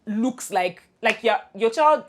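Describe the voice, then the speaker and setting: A woman's voice: a little high, takes it slowly. A young Nigerian woman co-hosting a casual podcast, telling stories with laughter and many restarts. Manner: animated storytelling, laughs often, many restarts. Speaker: podcast co-host. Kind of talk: casual chat between best friends.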